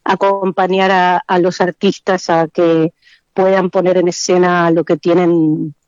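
A woman's voice: on the low side, level tone, unhurried, a little mellow, no room sound, highs cut off. A middle-aged woman with a Buenos Aires accent, speaking as an interview guest, hesitant and full of fillers and restarts. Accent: Buenos Aires Spanish. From Argentina. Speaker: actress and teacher, interview guest. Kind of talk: relaxed conversational interview.

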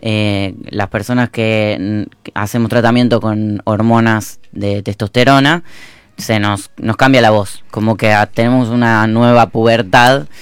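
A man answering interview questions thoughtfully, with many pauses and fillers. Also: fairly low pitch, steady tone, clean tone, no echo. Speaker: guest.

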